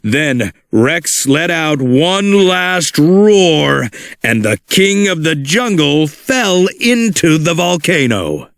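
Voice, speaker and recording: A man reading a children's storybook aloud in an animated, expressive way. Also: a little high, takes it slowly, no room sound, studio quality. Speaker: storybook narrator. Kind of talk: read-along storybook narration.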